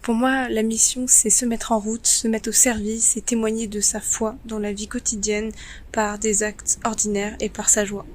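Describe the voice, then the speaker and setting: A woman in her twenties introducing herself in an even, level way. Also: fairly high pitch, moderate pace, a little hiss or hum. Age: 20s. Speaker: law student. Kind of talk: prepared self-introduction.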